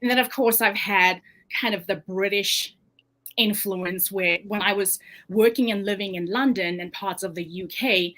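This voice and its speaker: A woman's voice: mid-range voice, moderate pace, a bit bright. A woman with a South African accent, a guest author sharing personal experiences in a casual interview, earnest and given to laughing as she talks.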